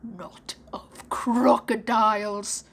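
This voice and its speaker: A woman voicing a menacing one-handed male pirate captain who talks darkly, slowly and in a hoarse whisper in a storybook reading. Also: on the high side, fairly even intonation, heavily acted. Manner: dark, brooding, slow, growling and whispery.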